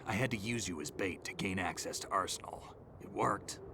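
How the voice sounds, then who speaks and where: An actor playing a terse, stoic male veteran soldier in a game cutscene, speaking in a low, gravelly half-whisper. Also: a little fast. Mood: gruff. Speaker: game character. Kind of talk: video game cutscene voice acting. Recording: game audio with ambient noise.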